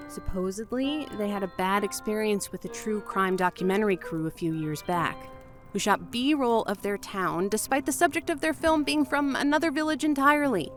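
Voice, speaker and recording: An actress playing a nervous woman investigator telling her story in a horror audio drama, halting, with a wry, sarcastic edge. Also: mid-range voice, lightly acted. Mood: sardonic storytelling. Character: frightened American woman investigator, forced to tell her story. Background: music behind the voice.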